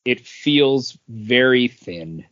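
A man with a mid-range voice talks in a measured, thoughtful way as a podcast co-host. The sound is dull and lo-fi. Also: mid-range voice, lively intonation, a bit bright. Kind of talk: casual chat.

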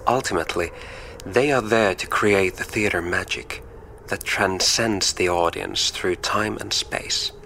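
A man reading a scripted, poetic introduction in a measured way, dropping some phrases to a whisper. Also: deep voice, gravelly, sharp tone, a little hiss or hum.

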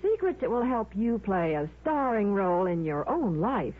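A woman delivers a scripted 1950s beauty commercial with alluring emphasis. Her pitch swings expressively and her tone is a bit husky. It sounds like a tinny old radio. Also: sing-song, moderate pace.

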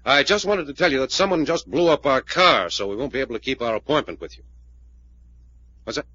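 An actor playing a tough, probing investigator in a 1940s radio drama, with a blunt, sardonic, interrogating manner. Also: male voice, mid-range voice, lively intonation, brisk, pushed and bright, slightly rough. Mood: firm, accusing. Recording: muffled old-time radio recording.

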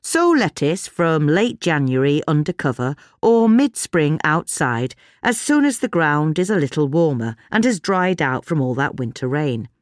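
A woman's voice: low voice, sing-song, unhurried, few pauses, no echo, clean studio sound. A British woman reading a gardening magazine article aloud as scripted narration.

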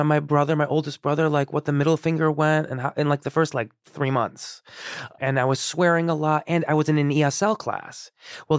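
A man who grew up in Iran and speaks Persian as his first tongue, sharing memories as a guest. His voice sits a bit high, and he rambles with many fillers and restarts. The sound is dry and close to the mic. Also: smooth, dry recording. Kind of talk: candid conversational storytelling.

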